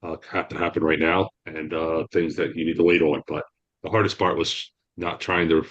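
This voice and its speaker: A man talking as a guest author on a podcast in a rambling, halting way, with frequent restarts. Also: very deep voice, little pitch movement, a bit bright, lo-fi sound. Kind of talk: casual interview answers.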